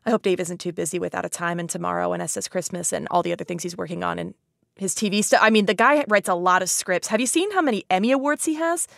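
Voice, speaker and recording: A woman's voice: lowish voice, fast talker, vocal fry, a bit bright, dry recording, clean studio sound. A woman hosting a podcast in a casual, chatty way, playful and self-deprecating, often saying "like". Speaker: podcast host.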